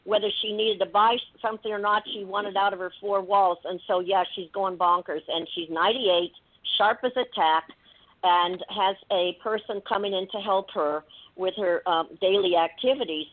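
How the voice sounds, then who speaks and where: A woman's voice: mid-range voice, moderate pace. A talkative woman calling in to a radio show, chatting casually and emphatically over the telephone. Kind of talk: casual chat. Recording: tinny telephone line.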